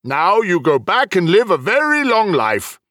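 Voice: mid-range voice, wildly swooping pitch, a little slow, a bit bright, dry recording, studio quality, pitched above the performer's own voice. A narrator voicing a stern, officious male celestial official with a formal, admonishing manner, in a fantasy audiobook. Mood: stern reproach.

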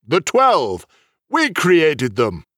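Polite otherworldly host played by a man: higher male register, animated intonation, genial and measured, fantasy audiobook. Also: no echo, studio quality, heavily acted. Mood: proud, calm. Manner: courteous, measured, gently apologetic.

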